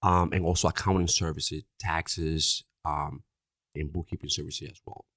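Earnest Dominican-accented man, deep voice. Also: fairly even intonation, normal speed, fairly bright tone, dry recording, clean studio sound. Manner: earnest, repeats "right?" often. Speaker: insurance agency owner. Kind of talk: podcast interview.

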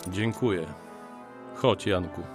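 An actor playing a practical travelling surveyor and loyal friend in a historical radio drama, brisk and polite. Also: male voice, low voice, soft background music. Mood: puzzled, consoling.

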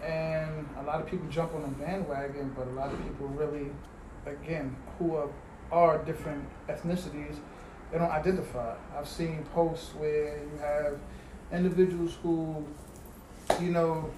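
A man's voice: fairly high pitch, flat delivery, moderate pace. A man co-hosting a casual discussion, asking probing questions and explaining his view at length. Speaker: podcast co-host. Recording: echoey room with audible noise.

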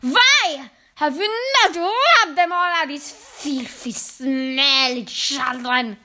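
A tyrannical storybook witch queen. She is imperious and full of loathing, with a sharp, forceful voice. Her words stretch out menacingly and swing widely in pitch. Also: animated, exaggerated voice. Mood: enraged, screaming. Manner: imperious, shrieking, drawn-out menacing delivery. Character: furious, tyrannical Grand High Witch who loathes children.

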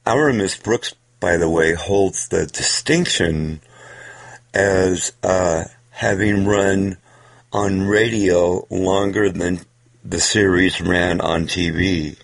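A man hosting a podcast, giving an informal, halting introduction to an old radio show. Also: sits low. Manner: halting, hesitant delivery. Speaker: podcast host.

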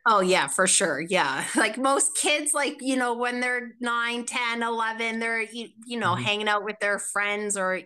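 Bright, chatty woman. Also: a little high, unhurried, hardly any pauses, clean tone. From Canada, Ontario. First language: Swiss German. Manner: chatty, rambling, heavy filler words, frequent laughter. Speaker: guest. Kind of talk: casual interview chat.

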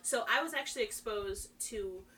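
Bright-voiced woman, slightly distant mic. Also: medium pitch, moderate pace. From Trinidad, moved to America at age five. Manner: rambling, enthusiastic, self-interrupting. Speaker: podcast guest. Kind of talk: casual chat about fandom. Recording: distant mic, faint noise and hum.

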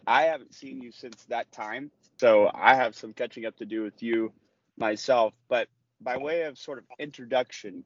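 A man's voice: lowish voice, lo-fi sound, slight background noise. A man hosting a religious interview, opening with a preacherly reflection, then asking questions earnestly. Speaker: host and interviewer. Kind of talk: sermon-like intro then interview.

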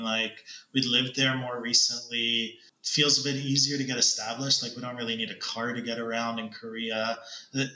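Man, Canadian accent, very bright sharp voice, mid pitch, casual storytelling, echoey room. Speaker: podcast guest, ed tech consultant. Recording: roomy, echoing sound.

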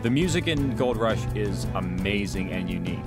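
A man's voice: on the low side, lively intonation, normal speed. A man speaking as an audio drama producer, earnestly explaining the craft in a documentary interview. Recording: faint music underneath. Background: faint music.

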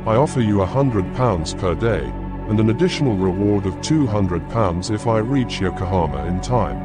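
An actor playing a calm, determined Victorian gentleman traveller in an audiobook, speaking placidly and concisely. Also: lowish voice, normal speed, close to an everyday voice. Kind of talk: dramatized audiobook character acting. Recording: clear music bed behind voice.

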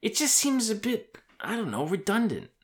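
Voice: mid-range voice, very animated, moderate pace, pitched above the performer's own voice, close to an everyday voice. A narrator doing a brash, exasperated young hero. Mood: defensive. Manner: blunt, grumbling, defensive.

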